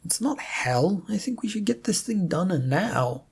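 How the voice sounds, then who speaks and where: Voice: medium pitch, very animated, unhurried, vocal fry, slightly rough, pitched above the performer's own voice, exaggerated voice. A narrator voicing a pushy, mischievous god in a story, needling and insistent. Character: Zip Zog, pushy, mischievous, evasive god. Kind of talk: amateur story reading in character.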